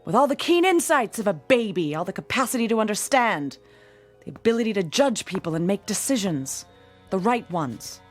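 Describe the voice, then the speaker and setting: An actress playing a bitter, haunted, hard-drinking woman in her forties in a radio drama, theatrical and sardonic with a husky voice. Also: medium pitch, big pitch swings, moderate pace. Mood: bitter, sarcastic. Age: 40s. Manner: theatrical, sardonic, self-pitying. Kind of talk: old-time radio drama acting. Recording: radio drama with music underneath.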